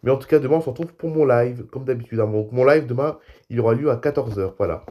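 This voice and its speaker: A man hosting a homemade aviation news show while stuffed up with a cold, so he keeps his voice low and soft. His tone is mellow and dark, and he moves between reading articles and chatting loosely with his viewers. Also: fast. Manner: reads articles, then adds loose spoken asides. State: has a cold, speaks softly. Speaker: YouTube news show host.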